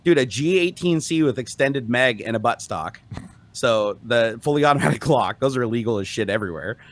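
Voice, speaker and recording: A man's voice: medium pitch, animated, brisk. A man cohosting a podcast, talking casually and animatedly, swearing freely. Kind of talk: casual chat.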